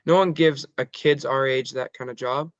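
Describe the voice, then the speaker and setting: A man's voice: medium pitch, steady tone, moderate pace, no room sound, highs cut off, close to an everyday voice. A man reading the part of a cautious, loyal young teenage boy in a table read of a script. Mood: friendly. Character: cautious, loyal fourteen-year-old boy, Gerald.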